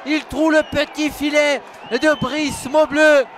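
A man calls a football match live in a very high-pitched voice. He is excitable and openly partisan, repeating phrases as he follows play. Crowd and stadium noise sit behind him. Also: slow pace, shouting. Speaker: football radio commentator.

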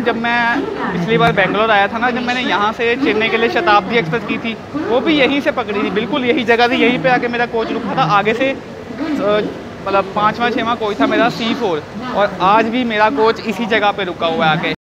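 A chatty young man with a fairly high voice talks straight into a phone held at arm's length. He is recorded on a railway platform and inside a train coach, with clear station and train noise behind him. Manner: chatty, talks straight to the camera while walking. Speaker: travel vlogger. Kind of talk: casual travel vlog. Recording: noisy station and train sounds.

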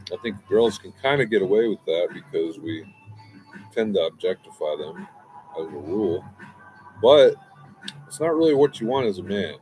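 A man's voice: on the low side, a little mellow, low-quality recording. A man in his forties giving a casual, meandering fragrance review outdoors, with lots of restarts and asides, on a close webcam. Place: outdoors by a brick wall, smoking.

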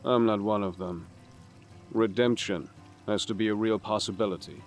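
A man playing a weary, conscientious assassin in an audio drama, speaking gravely and tersely. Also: fairly low pitch, fairly even intonation, moderate pace, faint music behind, close to an everyday voice. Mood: earnest. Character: brooding, conscientious male assassin, weary and sober.

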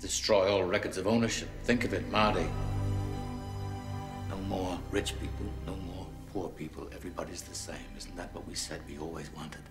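An actor playing a brooding middle-aged criminal idealist in a quiet film drama scene. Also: male voice, sits low, steady tone, normal speed. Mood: hushed, visionary. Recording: echoey film scene with music.

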